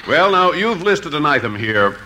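Bright, earnest assistant tax agent. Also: male voice, a little high, sing-song, full character voice. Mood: earnest, official. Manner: formal, deliberate, straight-faced. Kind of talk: 1950s radio comedy acting. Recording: hissy 1950s radio recording.

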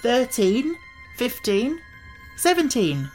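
A woman voicing a plucky, excitable young boy in a storybook, easily irritated and quick to exclaim. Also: medium pitch, faint music behind, pitched above the performer's own voice, close to an everyday voice. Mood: counting carefully.

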